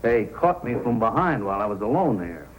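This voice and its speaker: An actor playing a talkative, earnest frontier warehouse boss in old-time radio style. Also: male voice, lowish voice, big pitch swings, a little fast. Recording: noisy old radio recording.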